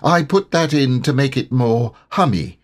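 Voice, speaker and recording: A man voicing a cheerful, slow-witted storybook bear who talks slowly and gets muddled. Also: medium pitch, pitched above the performer's own voice, close to an everyday voice.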